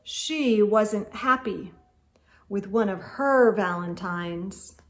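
A middle-aged woman reads a picture book aloud for children, expressive and carefully phrased, as a storytime host. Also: mid-range voice. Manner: deliberate, clearly phrased delivery aimed at young children. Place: indoor room with bookshelves.

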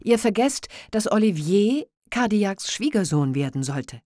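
A woman's voice: mid-range voice, big pitch swings, unhurried, mellow, no room sound, studio quality, voice pushed up. A woman voicing an elderly noblewoman poet, earnest and alarmed, in an audiobook reading. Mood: pleading.

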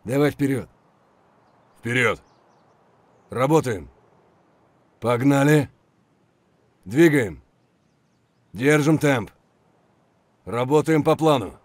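A steady, businesslike soldier from a military shooter game. He gives terse, controlled orders at a slow, deliberate pace. His voice sits at an average male pitch and is recorded very dry. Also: dry recording. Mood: firm, businesslike.

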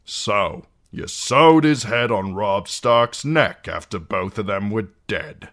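A gruff, big outlaw, as a male narrator plays him in a fantasy audiobook. He is brusque and blunt, a common man speaking with grim contempt. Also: on the low side, lively intonation, slow pace, a bit bright, voice pushed up, full character voice. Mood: cold accusation. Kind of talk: audiobook character acting.

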